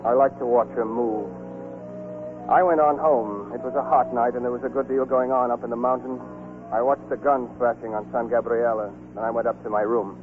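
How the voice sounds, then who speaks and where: An actor playing an earnest young lieutenant, speaking as lead and narrator in 1940s radio style. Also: male voice, medium pitch, fairly even intonation, normal speed, lightly acted. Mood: sober recounting. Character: earnest young lieutenant in love, recounting war. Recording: muffled 1940s radio broadcast with music.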